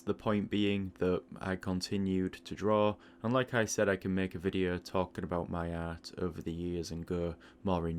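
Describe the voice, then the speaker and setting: Low-voiced man musing aloud, noisy hum. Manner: thoughtful, explanatory, self-correcting. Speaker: artist and video host. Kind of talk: reflective spoken audio-log monologue.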